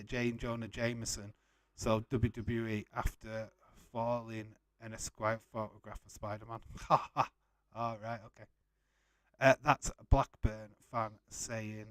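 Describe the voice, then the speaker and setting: A man in his forties with a Yorkshire accent chats casually as a livestream host. His voice is mid-pitched, and his delivery is blunt, chummy and informal. The sound is dry, with very little room echo. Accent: Yorkshire English. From West Yorkshire, England. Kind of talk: casual watch-along chat, reading out viewer comments.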